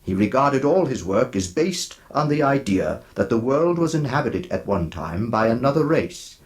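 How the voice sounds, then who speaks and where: A man hosting a horror anthology with a deliberate, solemn, dramatic delivery, reading a scripted introduction in old radio style. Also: mid-range voice, very animated. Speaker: host of a horror audio anthology.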